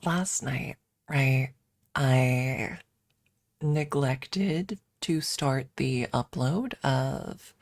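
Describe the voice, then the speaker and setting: A woman streamer chatting casually in a soft, hesitant ASMR style with playful self-deprecation, close to the microphone. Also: a bass voice, gravelly, no echo. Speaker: ASMR livestreamer. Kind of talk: ASMR, casual rambling chat.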